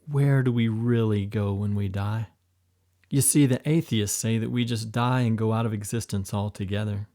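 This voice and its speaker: A man voicing an emotional special agent in an audiobook, tender and frightened by turns, talking higher than his narration. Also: fairly even intonation, normal speed, mellow, close to an everyday voice. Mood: fearful.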